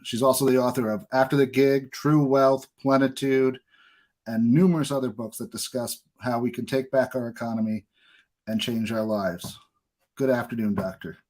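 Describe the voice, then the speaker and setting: Male interview host, mid-pitched voice, slightly halting phrasing. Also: fairly even intonation, a little mellow. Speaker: podcast host. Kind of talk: podcast interview, introducing a guest and asking questions.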